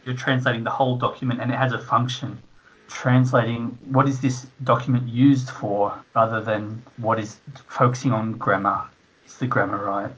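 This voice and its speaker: Husky guy, relaxed explaining translation stuff, kinda dull lo-fi sound. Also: mid-range voice, moderate pace. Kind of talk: casual interview.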